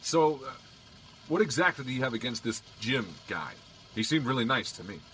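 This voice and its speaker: Wry, lively police officer. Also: male voice, medium pitch, normal speed, a little hiss or hum. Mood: curious. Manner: dry, joking, conversational. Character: wry, teasing male police officer, casually skeptical. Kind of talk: naturalistic audio drama acting.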